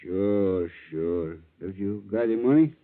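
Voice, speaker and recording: Shifty male bait peddler, slow, wheedling, pitch jumping around, muffled vintage radio drama. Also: animated. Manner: wheedling, slangy. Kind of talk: 1940s radio drama acting. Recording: dull 1940s radio recording.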